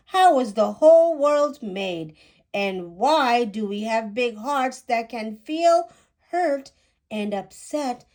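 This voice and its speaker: A woman's voice: on the high side, a little mellow. A woman voicing a curious little girl bubbling with questions, in an inquisitive, emphatic picture book read-aloud.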